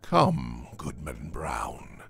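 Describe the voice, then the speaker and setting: A man acts out a sly, worldly devil in his fifties from an old storybook. The voice is deep and gravelly, taking its time over formal, archaic phrases as it smoothly persuades. Its pitch swoops widely. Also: huge pitch swings, fairly bright tone, faint music behind, exaggerated voice. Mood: coaxing. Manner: unhurried, archaic formal speech. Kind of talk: dramatised audiobook reading of a classic tale.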